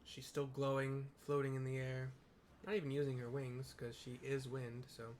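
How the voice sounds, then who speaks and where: A man's voice: mid-range voice, monotone, normal speed, darkish tone, slight background noise. Man casually describing a game. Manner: loose, hesitant describing with fillers. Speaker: player narrating a game. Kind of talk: casual live audio description of gameplay.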